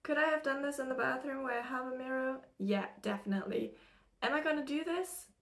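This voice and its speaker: A chatty young woman from Germany with an American-sounding English accent talks into the camera in her room. Her voice sits a little high, and she rambles casually with plenty of fillers and bursts of laughter. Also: a little fast, fairly bright tone. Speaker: spiritual channeler and content creator. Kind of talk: casual get-ready-with-me chat. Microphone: camera used as a mirror, close-up.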